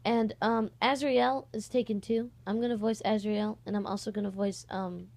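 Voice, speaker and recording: A young woman talks casually to her online followers, rambling a little and often pausing to correct herself. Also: mid-range voice, fairly even intonation, vocal fry, a little mellow. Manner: rambling, hesitant, self-correcting. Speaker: online voice-acting channel host. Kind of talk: casual vlog, audition call.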